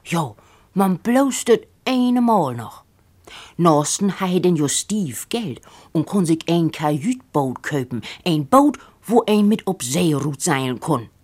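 A boastful young boy played by a woman reading a story, pitched low for a female voice and rough at the edges. He schemes with eager bravado and talks like a big brother laying out a daring plan. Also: very animated, takes it slowly, a little mellow, exaggerated voice. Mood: excited, bragging. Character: boastful, scheming boy planning a seal hunt.

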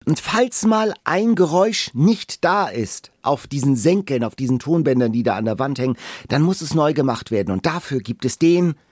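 Woman host, husky voice, really animated, curious, casual chat. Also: deep voice, moderate pace, no room sound, clean studio sound. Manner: curious, lively. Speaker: podcast host.

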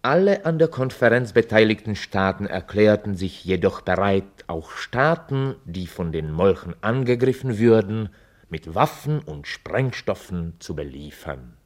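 A sober chronicler with a fairly low voice. His scripted radio-drama narration is formal and deliberate, delivered with a straight, deadpan face. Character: sober male chronicler of an absurd future war.

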